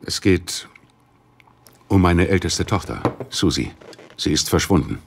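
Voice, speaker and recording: An actor playing a middle-aged, worried businessman in an audio drama, speaking in a formal, measured way. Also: sits low, sing-song, vocal fry, background noise, heavily acted. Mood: grave concern. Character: grave, worried Chinese businessman, father of missing daughter. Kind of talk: German radio play dialogue.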